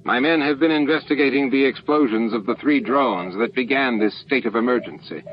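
A man's voice: mid-range voice, a little fast, voice pushed up, close to an everyday voice. A man voicing a stern alien security chief in an audiobook, formal and accusing. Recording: dull, noisy lo-fi book-on-tape recording.

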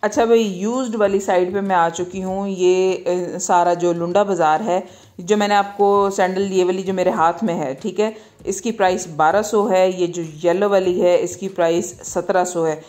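A woman's voice: on the low side, fairly even intonation, normal speed, darkish tone. A woman with a Pakistani accent narrating a shopping guide in a casual, conversational way, often checking in with the listener. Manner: conversational, repeatedly checks in with "okay". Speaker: market vlogger.

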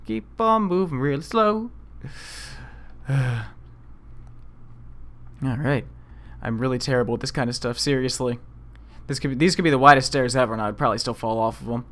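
A man doing casual, self-deprecating let's play commentary in an excitable manner. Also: medium pitch, normal speed, pauses often. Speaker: video game let's player.

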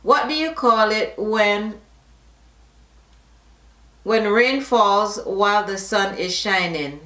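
A middle-aged woman from Jamaica with a Jamaican accent, reading a word list and questions aloud in a casual vlog, slow and deliberate, slipping into patois asides. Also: mid-range voice, fairly bright tone, reverberant. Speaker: vlogger. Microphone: camera facing her at close range.

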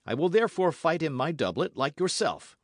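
A man's voice: a little high, very animated, fairly quick, no room sound, clean studio sound, pitched above the performer's own voice. A man voicing a bold young Gascon swordsman, gallant and proud, with a ringing, impassioned delivery.

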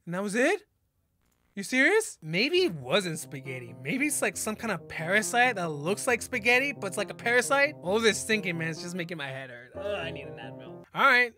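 A young American man with a bright, forward voice reacts casually, full of slang and exclamations. He records at an indoor gaming setup, with music playing behind him. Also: high voice, big pitch swings, a little fast. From California, United States. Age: 20s. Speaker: reaction video host.

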